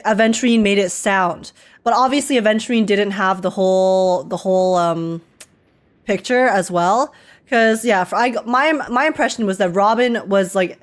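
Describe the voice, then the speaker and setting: A woman streamer giving informal, slangy and exclamatory live reactions to a game. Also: mid-range voice. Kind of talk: casual live reaction commentary. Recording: game music behind the voice.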